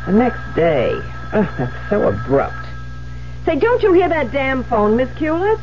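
Imperious lady novelist, dramatically expressive. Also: fairly high pitch, unhurried, music in the background. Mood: irritable, musing. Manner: theatrical, impatient, haughty. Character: imperious, irritable widowed woman novelist, rattled and frayed. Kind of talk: 1970s radio drama acting. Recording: muffled, noisy 1970s radio broadcast with hum.

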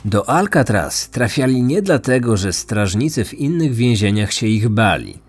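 A man narrating a scripted true-crime podcast as its host, measured and serious. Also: mid-range voice, very animated, breathy edge. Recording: music bed under voice.

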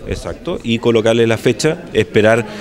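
A man in his forties, an engineering consultant, lays out steps methodically indoors. His voice sits at an ordinary middle pitch for a man. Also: normal speed. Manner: explanatory, methodical. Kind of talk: press statement. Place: indoors in a wood-paneled room. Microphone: cluster of handheld microphones.

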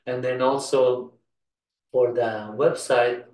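Echoey, halting Afghan-accented man. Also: mid-range voice, steady tone, a little mellow. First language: Persian (Farsi). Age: middle-aged. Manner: halting, meandering delivery. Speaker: computer science instructor. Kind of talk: informal unscripted tutorial talk. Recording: roomy echo, distant microphone.

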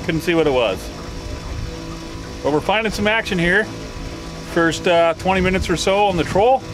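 A man's voice: high voice, normal speed. A middle-aged man hosting a fishing show from a small boat on a lake, easygoing and asking the guide curious questions. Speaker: host. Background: background music.

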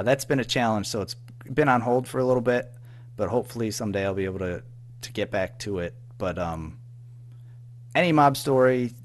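A man speaking as an interview guest in casual chat, hesitant, halting and self-effacing as he talks about his own work. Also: low voice, slight background noise. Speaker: guest, audio drama writer and producer.

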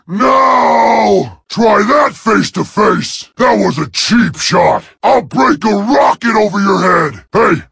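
An actor playing a brash, scrappy robot soldier in a video game, barking punchy combat lines in a strained, raspy voice. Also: male voice, high-pitched, animated, slow pace, few pauses, full character voice. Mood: combative. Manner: shouted, punchy battle barks.

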